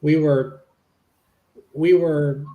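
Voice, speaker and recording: A man reading the part of a polite, low-key federal agent in a dramatic reading of a witness interview. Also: steady tone, drawn-out words, warm tone, low-quality recording. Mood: matter-of-fact. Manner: low-key, matter-of-fact.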